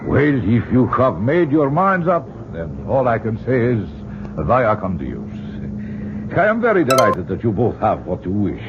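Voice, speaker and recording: Grave scholarly man, swooping low voice. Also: wildly swooping pitch, heavily acted. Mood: formal, concerned. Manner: formal, deliberate phrasing. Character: grave, worried male scholar and foreign guide. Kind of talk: old-time radio drama acting. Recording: noisy, phone-like old radio recording.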